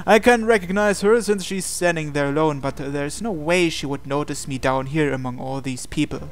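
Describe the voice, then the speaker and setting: A man's voice: a little high, moderate pace. A man hosting a game playthrough, reading visual novel narration aloud expressively with casual asides.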